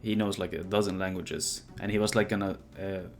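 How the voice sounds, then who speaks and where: A man's voice: sits low, fairly even intonation, fairly quick, soft background music. A young man, a university student, chatting casually as host, rambling and restarting as he thinks aloud. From Sweden. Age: young adult. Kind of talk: casual chat.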